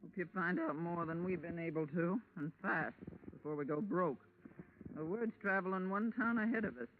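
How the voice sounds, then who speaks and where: An actress playing a brassy, slangy, aging carnival boss with a sharp voice in a 1940s radio drama. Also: female voice, on the low side, moderate pace, lightly acted. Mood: weary, sardonic. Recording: noisy, tinny 1940s radio recording.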